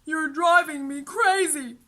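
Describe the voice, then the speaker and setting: Woman voicing an exasperated big brother, bright and pleading. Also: high voice, slow pace, pitched above the performer's own voice. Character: exasperated, pleading older brother of school age. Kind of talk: children's storybook character voice.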